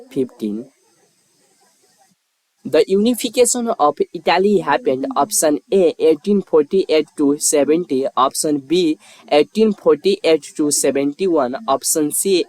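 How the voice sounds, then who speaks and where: A quiz narrator reading scripted questions and answer options aloud in a formal, measured way. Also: mid-range voice, lively intonation, a little mellow, dry recording, poor audio.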